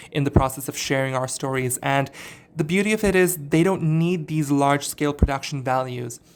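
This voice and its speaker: A young man, a singer, giving a reflective personal statement in an earnest, articulate way with occasional filler pauses. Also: medium pitch.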